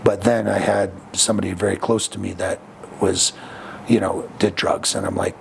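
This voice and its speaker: A man speaks frankly as a podcast guest, his voice low and gravelly. He reflects on his past in an unhurried, conversational way, often pausing with fillers and restarts. A faint hiss sits under the recording. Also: level tone. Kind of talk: candid conversational storytelling.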